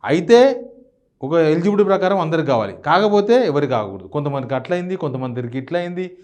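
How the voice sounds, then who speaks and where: A man's voice: fairly high pitch, expressive, quick pace, a little mellow. A man with a Telangana Telugu accent giving opinionated news commentary, firing off indignant rhetorical questions.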